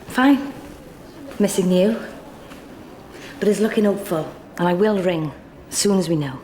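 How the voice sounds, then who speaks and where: A woman's voice: on the low side, measured pace, darkish tone, roomy sound, slight background noise, natural voice. A woman in a British TV drama playing a mother who reassures her child.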